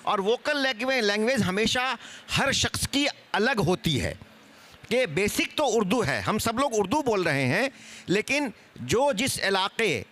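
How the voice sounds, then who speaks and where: Guy explaining stuff on a panel, high bright voice, goes up and down a lot. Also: big pitch swings, measured pace. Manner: illustrates points with examples. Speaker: seminar panelist. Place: live seminar on stage.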